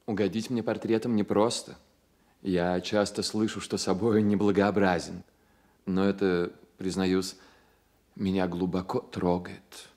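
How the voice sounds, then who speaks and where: An actor playing an ardent romantic poet and fond friend in a radio play, speaking in an expressive, literary manner. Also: male voice, deep voice, measured pace. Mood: wry. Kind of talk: Soviet radio play acting.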